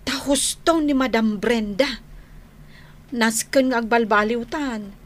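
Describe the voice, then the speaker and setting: High-voiced caring wife. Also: female voice, takes it slowly. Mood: thankful. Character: caring, admonishing wife of a working man. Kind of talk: radio drama acting.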